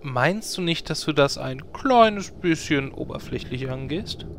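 A man's voice: on the high side, lively intonation, measured pace, creaky, slight background noise. A man acting a sensible, blunt, matter-of-fact adventurer in a comedic fantasy game audio drama.

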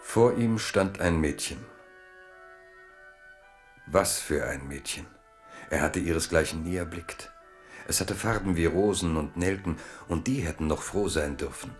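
Very deep-voiced man narrating. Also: measured pace, long pauses, faint music behind. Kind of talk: scripted literary narration in a radio drama.

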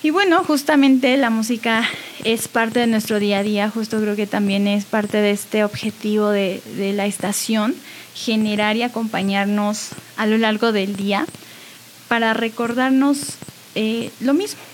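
A woman's voice: medium pitch, fairly even intonation, measured pace, slight background noise, rough recording. A woman hosting a radio show in an earnest, encouraging, conversational way, with small giggly asides. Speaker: radio host. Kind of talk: warm conversational radio sign-off.

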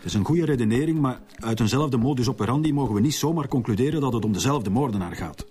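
A man's voice: mid-range voice, expressive, fast talker, dark tone, natural voice. A man with a Flemish accent playing an authoritative, formal police director in a naturalistic radio drama. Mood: firm. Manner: formal, clipped, commanding. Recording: music behind the dialogue.

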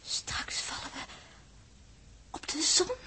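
An actress playing an anxious, tender young woman on a spaceship in a 1960s radio drama, halting and pleading. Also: bright, forceful tone, in a whisper. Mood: distressed, compassionate.

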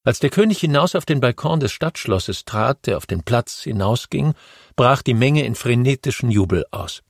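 A man narrating a history audiobook in a measured, steady storytelling manner. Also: mid-range voice, creaky, dry recording, studio quality.

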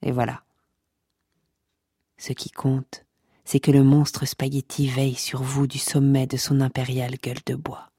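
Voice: medium pitch, monotone, unhurried, pauses often, dark tone, slightly rough, no room sound, clean studio sound. Une personne qui joue la narration omnisciente d'une fiction audio comique, pompeuse, théâtrale, taquine avec son auditoire. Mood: teasing, solemn. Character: pompous, mock-scholarly omniscient narrator addressing listeners. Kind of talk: scripted comic fiction narration.

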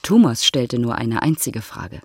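An actor as the narrator of a literary love story, speaking in a dry, matter-of-fact manner. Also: male voice, medium pitch, expressive, unhurried, music in the background, natural voice.

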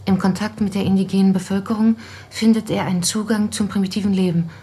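Very husky, thoughtful researcher. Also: female voice, mid-range voice, fairly even intonation, fast talker, dark tone. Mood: absorbed, thoughtful. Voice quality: very husky, breathy. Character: absorbed, thoughtful woman researching a mysterious author. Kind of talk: radio play monologue.

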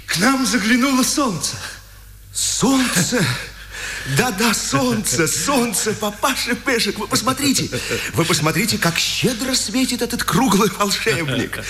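An actor playing an impassioned, poetic imprisoned resistance fighter in a declamatory, lyrical 1950s radio drama style. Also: male voice, high-pitched, expressive, unhurried, pushed and bright, exaggerated voice. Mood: rapturous. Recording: old radio recording with background noise.

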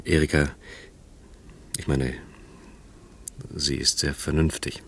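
An actor playing a hesitant, guilt-ridden man in a naturalistic radio drama, speaking in halting, apologetic phrases. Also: rumbling low, little pitch movement, creaky, a little hiss or hum, heavily acted. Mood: awkward, earnest.

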